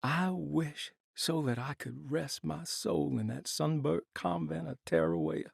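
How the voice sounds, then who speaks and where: An actor playing a tormented, melancholy man reciting a poetic game monologue in a theatrical, anguished way, with a husky, creaky voice. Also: fairly high pitch, slow, dry recording, studio quality, heavily acted. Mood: haunted despair. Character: haunted, tormented, melancholy man reciting his written laments.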